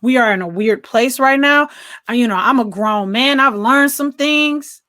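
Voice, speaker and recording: A woman's voice: a little high, normal speed, bright, forceful tone, no room sound, clean studio sound. An American woman co-hosting a casual movie discussion, thinking aloud in a conversational way. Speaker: podcast co-host reviewing films. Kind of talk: casual chat, film discussion.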